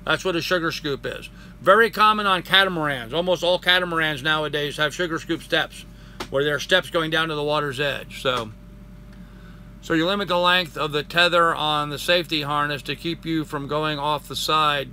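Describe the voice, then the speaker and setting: An older man hosting a casual live stream, chatting and answering viewer comments, getting hoarse after a long session. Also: on the high side, fairly even intonation, normal speed, pushed and bright, slight background noise. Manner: rambling, friendly, greets viewers by name.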